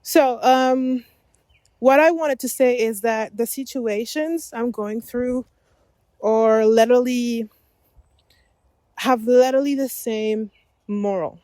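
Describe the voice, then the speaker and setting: A woman's voice: on the high side, slow, pauses often, warm tone, no echo. A woman whose first language is French, hosting an unedited solo podcast while walking outdoors along a forest path, rambling and correcting herself as she goes. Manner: rambling, self-correcting, laughs often. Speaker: podcast host.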